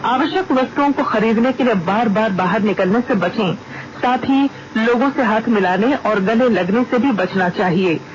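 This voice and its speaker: A high-pitched man with an Indian accent reads a scripted public advisory for radio. The sound is dull and clearly noisy. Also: normal speed.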